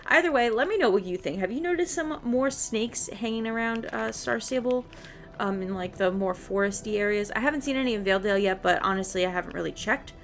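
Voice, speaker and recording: A woman giving casual, expressive gameplay commentary, chattily reacting aloud to the game as she plays. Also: mid-range voice, brisk. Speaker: video game streamer.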